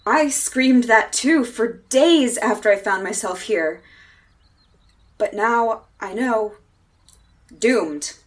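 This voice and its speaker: A woman's voice: on the high side, slow, long pauses. A woman acting a doomed, fatalistic castaway in time, ominous and insistent, in a 1930s horror radio play style. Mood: grim, resigned. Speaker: actress in a radio drama.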